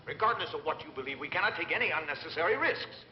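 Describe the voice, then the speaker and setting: An actor playing a stern, commanding general in a dubbed old sci-fi film, urgent and insistent. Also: male voice, fairly high pitch, expressive, fast talker, lightly acted. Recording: echoey, muffled film soundtrack, faint noise.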